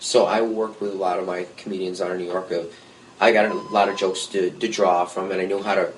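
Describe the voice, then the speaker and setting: A man, a guest musician, telling lively stories in a casual podcast conversation with jokey asides. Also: low-pitched, a little fast, slight background noise, rough recording. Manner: storytelling, animated, jokey asides.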